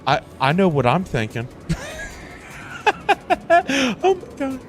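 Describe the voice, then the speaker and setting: A man livestreaming a game with casual commentary, excitable and slangy, often repeating himself. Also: high-pitched, slow pace. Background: game music.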